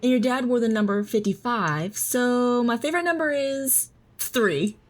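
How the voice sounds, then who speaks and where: A woman's voice: a little high, measured pace, natural voice. A woman playing a loving, teasing mother in an audio drama, both telling the story and talking with her child. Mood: playful, teasing. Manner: reassuring, teasing. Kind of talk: audio drama narration and dialogue. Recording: music under the voice. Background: music.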